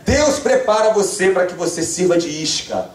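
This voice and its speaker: Fervent high-voiced preacher from Rio. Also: male voice, animated, moderate pace. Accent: Rio de Janeiro Brazilian Portuguese. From Brazil. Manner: fervent, call-and-response, swings to shouting. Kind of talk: revival sermon. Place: church sanctuary. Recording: echoey church from far off.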